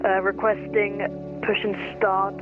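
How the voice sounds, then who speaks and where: High-voiced, hesitant pilot on radio. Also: male voice, flat delivery, unhurried, music in the background. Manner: hesitant, apologetic. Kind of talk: radio phraseology. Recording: muffled radio channel.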